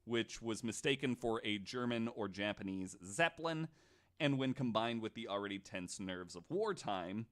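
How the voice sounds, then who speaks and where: A man hosting a casual podcast, retelling stories to his guests in an animated, swearing way. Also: lowish voice, moderate pace. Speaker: podcast host.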